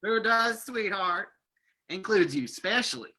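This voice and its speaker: Performer as a husky, boisterous male prospector. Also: medium pitch, expressive, unhurried, pushed and bright. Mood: flirtatious, jovial. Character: boisterous, flirtatious male gold prospector named George. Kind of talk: old-time radio drama reading.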